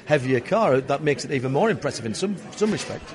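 A man's voice: on the high side, expressive, quick pace. A man interviewing a guest in casual chat, engaged and jumping in with questions.

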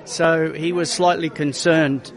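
A middle-aged man, a racing driver guest telling anecdotes in a relaxed interview and quoting his own thoughts. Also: a little high, slow pace, a crowd in the background. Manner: relaxed anecdotal telling with quoted self-talk. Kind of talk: casual interview storytelling.

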